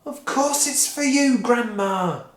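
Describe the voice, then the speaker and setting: A reader voicing an eager, impatient father who talks loudly and pushes others along, in an echoey room. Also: mid-range voice, very animated, measured pace, creaky, pitched above the performer's own voice, exaggerated voice. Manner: loud, pushy.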